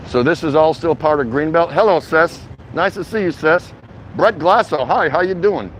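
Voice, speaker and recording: A middle-aged man with a slightly high voice talks off the cuff as he walks outdoors. His delivery is easygoing and slangy, like a livestreamer chatting with regulars. Light city and traffic noise runs behind him. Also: normal speed, low-quality recording. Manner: easygoing, rambling, slangy, addresses viewers by name. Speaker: vlogger. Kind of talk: casual livestream walking-tour chat. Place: outdoors, walking through a city shopping district.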